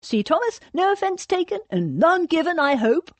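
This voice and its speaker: A woman's voice: mid-range voice, wildly swooping pitch, normal speed, a little mellow, husky, dry recording. A woman voicing a nervous, aggrieved, placatory male cousin in an audiobook, whining and protesting. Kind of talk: audiobook character dialogue.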